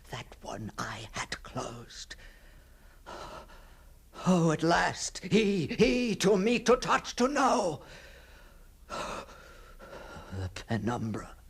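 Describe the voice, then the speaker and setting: Husky, haunted gentleman, slow and fevered. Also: medium pitch, exaggerated voice. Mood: horrified, frantic. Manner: fevered, halting, repeating words, theatrical. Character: haunted, obsessive gentleman confronting a ghostly double. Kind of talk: dramatic gothic monologue, radio drama acting.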